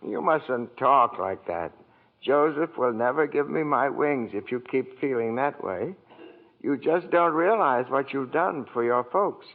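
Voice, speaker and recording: An older actor playing a gentle, fussy male angel with quaint old-fashioned phrasing, in 1940s radio acting on an old broadcast. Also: medium pitch, expressive, unhurried, phone-quality sound. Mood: earnest.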